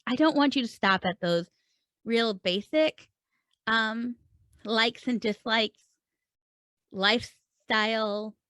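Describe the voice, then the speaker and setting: A woman's voice: on the high side, fairly bright tone, dry recording. Earnest woman coaching writers, dry sound. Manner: earnest and encouraging, asks many rhetorical questions. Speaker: writing coach and podcast host. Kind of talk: solo teaching talk to camera.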